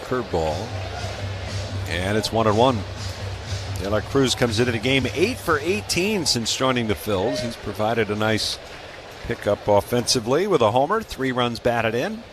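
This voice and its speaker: A man's voice: mid-range voice, very animated, normal speed, music in the background. An American man calling live baseball play-by-play in a radio commentary style. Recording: clearly noisy live ballpark broadcast.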